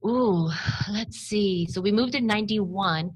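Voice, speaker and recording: A woman's voice: mid-range voice, measured pace, poor audio. A woman in her thirties, born in Romania with Romanian as her first language, telling her family story in a chatty conversational interview. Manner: chatty, storytelling, laughs easily. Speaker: guest.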